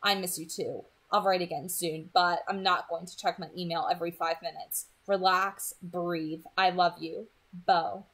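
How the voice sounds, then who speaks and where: A woman's voice: fairly low pitch, fairly even intonation, normal speed, a bit bright. A woman voicing a dry, patient teenage son in a storybook read-aloud. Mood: reassuring, dry. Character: teenage son, dry, patient, soothing his flighty mother.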